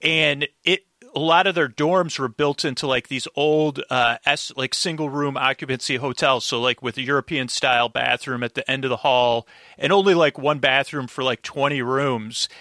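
A man chatting casually as a podcast guest, telling things in a halting, rambling way and circling back mid-sentence. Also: medium pitch, pushed and bright, dry recording, clean studio sound. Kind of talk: casual interview chat, storytelling.

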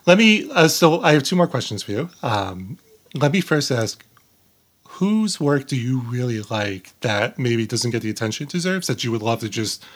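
A man's voice: medium pitch, big pitch swings, normal speed. A man hosting a casual podcast interview in an informal, encouraging way.

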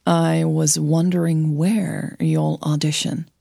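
A woman's voice: low voice, little pitch movement, slow, vocal fry, mellow, no room sound, studio quality, exaggerated voice. A woman playing a guarded, easily wounded woman in love, speaking tensely in an audio drama. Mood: evasive.

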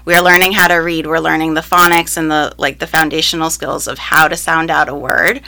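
A young woman with a bright, sharp tone and a fairly low pitch answers interview questions in an upbeat, casual way. Her answers are peppered with ums and likes. She grew up speaking Spanish and English side by side. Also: level tone, a little fast, creaky. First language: Spanish, alongside English. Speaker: first grade teacher, interviewee.

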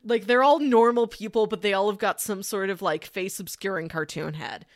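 A woman's voice: mid-range voice, normal speed, no room sound. Woman chatting casually, dry close sound. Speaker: podcast co-host reviewing anime. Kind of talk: casual chat.

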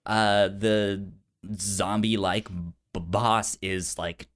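A man's voice: lowish voice, fairly even intonation, drawn-out words, fairly bright tone. A man co-hosting a film podcast, talking casually and enthusiastically, with a stutter that repeats sounds and syllables. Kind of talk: casual movie discussion.

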